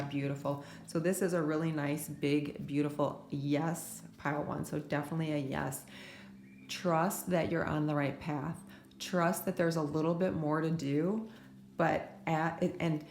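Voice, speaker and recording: A woman's voice: deep voice, vocal fry, echoey room. A woman giving a soothing pick-a-card tarot reading, her voice a bit scratchy from allergies. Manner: soft, soothing spiritual talk with many "right?" asides. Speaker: tarot reader.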